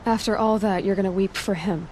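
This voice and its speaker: Blunt young huntress played by an actress. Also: female voice, medium pitch, fairly even intonation, fairly quick, darkish tone, slight background noise, close to an everyday voice. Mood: dry. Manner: direct, wry.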